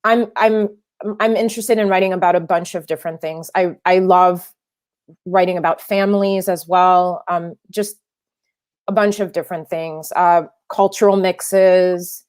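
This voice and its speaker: A woman's voice: fairly low pitch, steady tone, a little slow, a little mellow. A young adult woman filmmaker whose first language is French, answering interview questions thoughtfully, with many restarts and fillers. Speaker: filmmaker guest.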